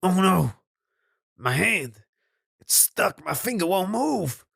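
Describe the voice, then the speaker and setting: A man voicing a brutish male landowner who shouts and stammers in frantic panic. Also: high-pitched, sing-song, takes it slowly, creaky, slightly rough, dry recording, clean studio sound, pitched above the performer's own voice, exaggerated voice. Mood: panicked.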